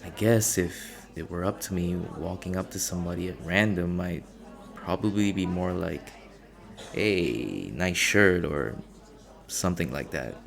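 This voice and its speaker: A male actor plays a shy, gentle young man in an ASMR coffee shop roleplay. His voice is low and crackles with vocal fry. He talks slowly and hesitantly, rambling earnestly and second-guessing himself like someone anxious around strangers. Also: full character voice. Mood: awkward, rambling. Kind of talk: ASMR boyfriend audio roleplay, intimate naturalistic acting.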